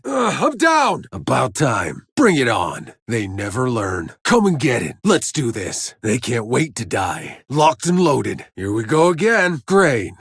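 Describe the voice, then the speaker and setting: A man's voice: a little high, vocal fry, a bit bright, breathy edge, dry recording, exaggerated voice. A man playing a cynical, tough soldier in a sci-fi video game, with a gritty voice and dry wisecracks. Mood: hurt, strained, then battle-ready. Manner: sardonic, swearing, dry wisecracks. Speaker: video game player character voice. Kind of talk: scripted video game voice lines and combat barks.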